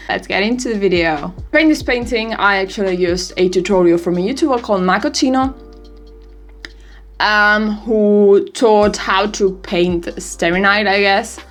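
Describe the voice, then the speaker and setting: A woman's voice: medium pitch, a little slow, soft background music. An eighteen-year-old woman from Europe, English not her first language, chatting casually in a rambling, giggly, self-deprecating vlog. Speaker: vlogger. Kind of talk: casual birthday vlog chat.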